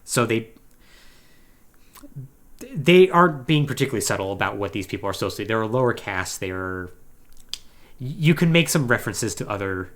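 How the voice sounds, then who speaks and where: A man's voice: fairly low pitch, animated, moderate pace, pauses often, fairly bright tone. A man hosting a podcast, recapping a video game storyline in a casual, conversational way with wry asides. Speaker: podcast host.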